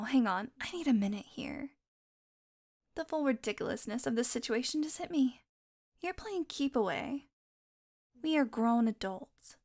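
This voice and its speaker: A centuries-old vampire woman, acted by a woman in an audio roleplay, speaks in a high voice straight to the listener. She is sardonic and predatory, teasing with dry sarcasm and a grudging fondness underneath. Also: exaggerated voice. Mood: exasperated.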